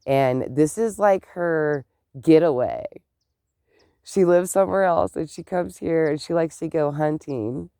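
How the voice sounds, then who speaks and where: Slow, stuffed-up chatty vlogger. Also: medium pitch, mellow, clean tone, no room sound, clean studio sound. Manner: chatty, meandering, exclaims often. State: congested, stuffed-up nose and clogged ears. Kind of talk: casual rambling chat to camera.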